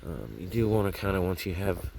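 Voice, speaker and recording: A man's voice: sits low, sing-song, brisk, gravelly, darkish tone, a little hiss or hum. A man casually narrating hands-on garden work outdoors, rambling and thinking aloud as he goes, recorded on a handheld camera. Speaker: gardening vlogger.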